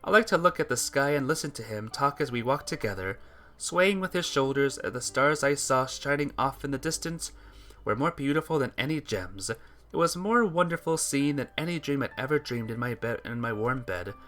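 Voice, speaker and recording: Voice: medium pitch, animated, moderate pace, fairly bright tone. A person reading a sentimental story aloud in an earnest narrating style, stumbling now and then. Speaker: visual novel player reading the text aloud. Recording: music behind the voice.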